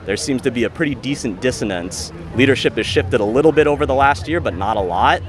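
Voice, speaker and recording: A man's voice: medium pitch, animated, fast talker. An American man giving an opinionated street interview outdoors. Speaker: protester interviewee. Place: outdoors at a protest. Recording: outdoor crowd and traffic.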